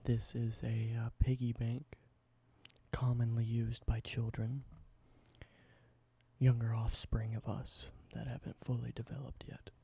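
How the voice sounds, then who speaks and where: A young man doing a soft, halting ASMR whisper, slowly explaining everyday objects in simple words. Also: medium pitch, monotone, gravelly, breathy edge. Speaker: ASMR creator.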